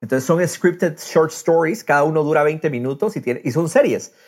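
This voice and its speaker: Un hombre que conduce un podcast de charla informal, contando las cosas con animación y entusiasmo. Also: male voice, fairly high pitch, expressive, moderate pace, a little mellow. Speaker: podcast host. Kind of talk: casual podcast interview.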